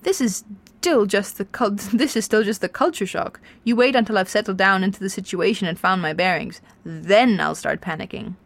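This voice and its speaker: A woman's voice: mid-range voice, normal speed, natural voice. A woman voicing a hapless, flustered human man in a storybook, spluttering and complaining. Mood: snappy.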